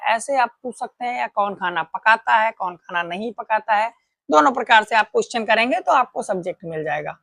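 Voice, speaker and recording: Gravelly-voiced woman teaching grammar. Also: on the high side, fairly bright tone, no echo, studio quality. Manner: patient step-by-step explaining, asks and answers own questions. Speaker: English teacher. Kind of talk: classroom grammar lesson.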